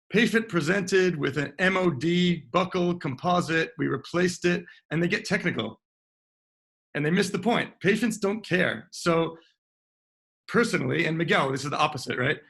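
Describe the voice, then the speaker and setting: A man, a dentist, talking casually on a panel with a scratchy voice, often clearing his throat as he agrees and explains. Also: fairly high pitch, pushed and bright.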